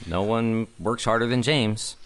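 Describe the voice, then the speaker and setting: An actor playing a harried, evasive, earnest young theater director in an audio drama. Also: male voice, on the low side, unhurried, lightly acted. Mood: awkward, placating. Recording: faint background noise.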